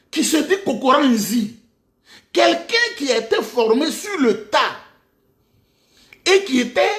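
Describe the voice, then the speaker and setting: A middle-aged Ivorian man with an Ivorian French accent and a very high voice delivers a mocking political monologue. His pitch swings widely as he leans on rhetorical questions and bursts of emphasis. The sound carries an echoey room around it. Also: a bit bright. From Côte d'Ivoire. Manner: mocking, rhetorical, with sudden outbursts and laughs. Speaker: political commentator.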